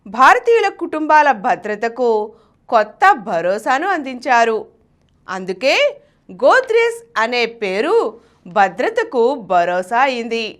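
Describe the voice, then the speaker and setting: High-pitched, animated Telugu woman narrator. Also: a little slow. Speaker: channel presenter reading a biography. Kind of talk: scripted narration, dramatic storytelling.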